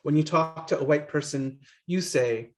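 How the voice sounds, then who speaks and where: A man reading his own poetry aloud during a virtual workshop, pausing with soft fillers between poems, heard through a video call. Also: on the high side. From born in London, family from Guyana. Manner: reads in second person, stops with soft fillers between poems. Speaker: poet reading his work.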